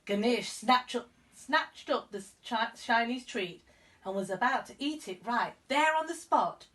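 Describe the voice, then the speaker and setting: A woman narrating a children's story expressively as a storyteller, with clear emphasis for young listeners. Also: fairly high pitch, lively intonation, measured pace, a bit bright, far from the mic. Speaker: storyteller, school reader.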